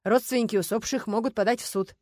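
A woman's voice: on the high side, steady tone, quick pace, darkish tone, dry recording, lightly acted. Casting: female reader as tired, matter-of-fact policeman, dry authority, audiobook crime story character. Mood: doubtful. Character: weary, businesslike male police investigator.

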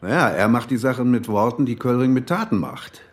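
A man's voice: mid-range voice, animated, a little fast, lightly acted. A male actor playing a blunt, folksy older police chief in a radio crime drama, talking colloquially and bossily. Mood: explaining. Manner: colloquial, bossy, chummy. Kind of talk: naturalistic German radio crime drama acting.

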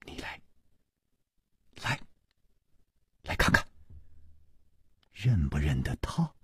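A man voicing a calm, gentle, confident hero detective in a martial-arts audiobook, speaking in hushed, deliberate words. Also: a bass voice, husky, dry recording, clean studio sound, voice pushed down, full character voice. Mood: hushed, insistent. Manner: hushed, slow, deliberate, word by word.

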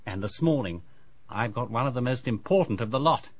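A man playing a chatty, self-satisfied English dentist in a radio drama, with a brisk professional patter. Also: mid-range voice, expressive, no echo, close to an everyday voice.

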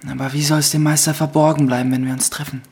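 A man's voice: a little high, flat delivery, fast, a little mellow, slightly rough, no echo. An actor playing an earnest young apprentice who tells his own story reflectively in a German radio play. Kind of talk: radio play narration and dialogue.

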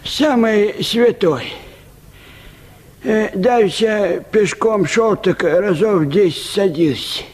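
An actor playing a simple, ailing peasant man in a comic radio play, plaintive and deferential, rambling haltingly with groans. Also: high-pitched, darkish tone, slightly rough, full character voice.

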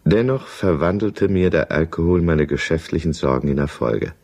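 A very deep-voiced, downcast businessman who has taken to drink. He speaks as in a radio play confession, brooding and fussy over small grievances, justifying himself with deliberate care. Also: darkish tone. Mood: rueful, confessional. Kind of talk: confessional first-person radio drama narration.

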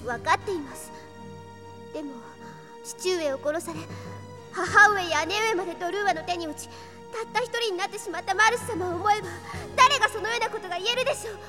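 A woman's voice: very high-pitched, fairly quick, music in the background. A woman playing an earnest, headstrong young princess, devoted and well-spoken, in an anime audio drama. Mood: pleading, firm. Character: earnest, headstrong twelve-year-old princess, devoted and polite.

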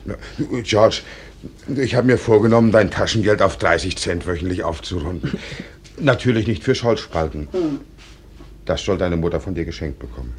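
An actor playing a middle-aged small-town doctor and father, measured and gently firm, in a radio drama. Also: deep voice, animated, a little hiss or hum. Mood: fatherly, grumbling. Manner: measured, paternal, gently chiding. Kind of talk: German stage-play radio drama acting.

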